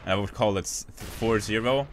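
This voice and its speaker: A man casting a competitive shooter match on his own, calling plays quickly, restarting phrases and joking with his viewers between rounds. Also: fairly low pitch, slight background noise. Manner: rapid, chatty, self-interrupting, jokes with chat. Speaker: esports caster. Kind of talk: solo live game commentary.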